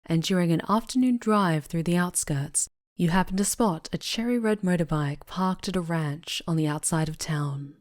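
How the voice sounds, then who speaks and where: A woman's voice: deep voice, a little mellow. A woman reading scripted second-person story narration as the narrator of an audio roleplay.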